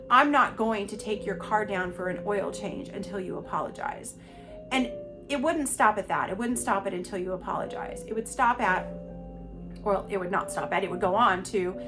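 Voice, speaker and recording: Stuffy-voiced woman, candid and emphatic. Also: a little high. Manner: candid, emphatic, repeats words for stress. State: stuffy from a cold. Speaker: podcast host. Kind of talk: personal monologue on abuse recovery.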